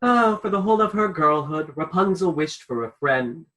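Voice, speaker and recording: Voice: mid-range voice, expressive, moderate pace, a bit bright, slight background noise, lightly acted. An actor plays a stuffy storybook narrator in a radio play. The delivery is theatrical and widely swooping, insistent and controlling, easily flustered. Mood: storytelling.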